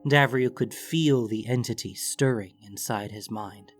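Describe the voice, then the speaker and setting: A man's voice: mid-range voice, measured pace, soft background music. A man narrating a scripted fantasy story as an audiobook.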